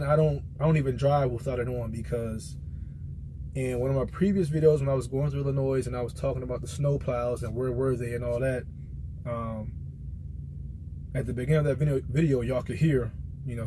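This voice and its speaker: Mellow American man over background noise. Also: medium pitch, poor audio. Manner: conversational storytelling, informal. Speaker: truck driver vlogger giving a product opinion. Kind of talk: casual rambling vlog monologue.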